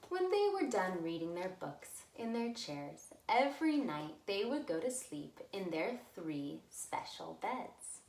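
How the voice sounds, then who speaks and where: Female children's storyteller, very expressive melodic swings, unhurried, recorded in a roomy space. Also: mid-range voice, slight background noise. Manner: simple, deliberate phrasing addressed to small children. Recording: roomy, echoey room sound.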